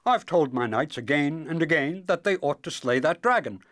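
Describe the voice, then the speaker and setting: An exasperated storybook king, acted out by a narrator, pitched well above a normal talking voice. He blusters in loud, emphatic declarations, then hedges and justifies himself with flustered insistence. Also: lively intonation, moderate pace, no room sound, studio quality, lightly acted. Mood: exasperated, declaring.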